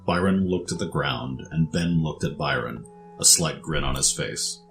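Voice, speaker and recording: A man's voice: rumbling low, normal speed, vocal fry, a bit bright, soft background music, rough recording. A man reading scripted story narration as the narrator.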